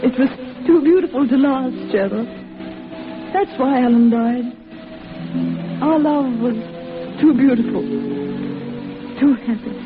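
Grieving young romantic, high pitch, wistful, fragile, 1940s radio melodrama, tinny band. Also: female voice, measured pace, pauses often. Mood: wistful grief. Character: tender, grief-stricken romantic young woman in mourning. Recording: tinny old radio broadcast with music.

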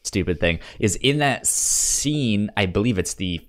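A man co-hosting a film podcast in casual conversation, halting and often stammering over repeated words. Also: lowish voice, expressive. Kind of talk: casual movie discussion.

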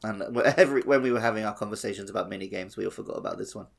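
Guy with a creaky lowish voice just chatting on a games podcast. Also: very fast. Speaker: podcast co-host. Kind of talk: casual gaming podcast chat.

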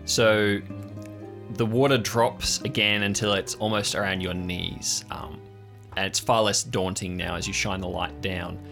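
Gravelly guy running an audio drama scene, low crisp bright tone, describes stuff with pauses. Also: fairly even intonation, soft background music. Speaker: game master, narrator.

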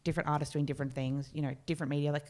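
A woman's voice: low-pitched, flat delivery, racing, darkish tone. A woman from Australia, a podcast guest talking casually and arguing her points with the odd laugh. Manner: articulate, argues points with laughter. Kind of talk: casual conversation.

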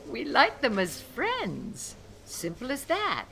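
A woman's voice: fairly high pitch, huge pitch swings, gravelly, pushed and bright, slight background noise, full character voice. A woman playing a kindly but firm elementary school teacher in a radio play, speaking carefully as if to young children. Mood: cheerful, patient. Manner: measured, enunciating as to young children.

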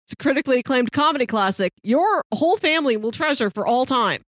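High-voiced woman co-hosting a film podcast. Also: lively intonation, fast talker, fairly bright tone, no echo, clean studio sound. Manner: chuckles mid-sentence, playful. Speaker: podcast co-host. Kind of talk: casual film podcast chat, pitching films and reading blurbs.

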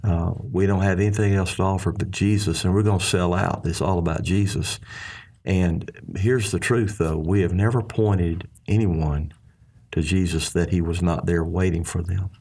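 A man's voice: low-pitched, fairly even intonation, moderate pace, a little mellow, breathy edge. A man with a Southern US accent, talking about faith in an earnest, folksy way and often restarting his sentences. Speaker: ministry leader. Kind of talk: casual faith discussion.

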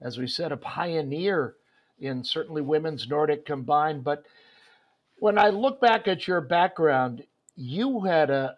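A man's voice: on the high side, creaky. A man hosting a sports interview, talking in a rambling way with many fillers and restarts. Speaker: host.

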